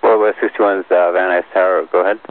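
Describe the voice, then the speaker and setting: A man's voice: sits low, level tone, quick pace, dry recording. A man working as an air traffic tower controller, giving clipped instructions over aviation radio. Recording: muffled narrow-band tower radio recording.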